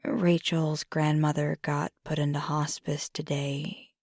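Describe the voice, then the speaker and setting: A woman playing an affectionate, teasing werewolf girlfriend in an ASMR roleplay, speaking in a soft, breathy, half-whispered voice with casual pauses. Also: deep voice, flat delivery, no room sound, studio quality, exaggerated voice. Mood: thoughtful, subdued. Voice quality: breathy, husky, gravelly fry. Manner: casual, unhurried, hesitant pauses. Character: fiery werewolf girlfriend, affectionate, teasing, weary pack member.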